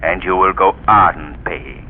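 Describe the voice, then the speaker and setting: A man voicing the Shadow, a mysterious crime-fighting hero, in a 1930s radio drama, with a deep, slow, ominous delivery. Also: slight background noise. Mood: grave. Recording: tinny remastered 1930s radio broadcast.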